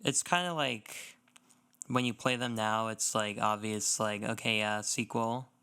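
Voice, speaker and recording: A man's voice: lowish voice, fairly even intonation, measured pace, pushed and bright, clean tone. A man co-hosting a gaming podcast in casual chat, feeling his way through sentences with lots of fillers. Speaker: podcast co-host.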